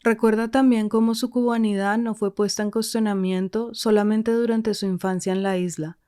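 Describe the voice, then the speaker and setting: A woman narrating a documentary-style podcast in a measured, scripted way. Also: medium pitch, clean tone. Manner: measured, even delivery.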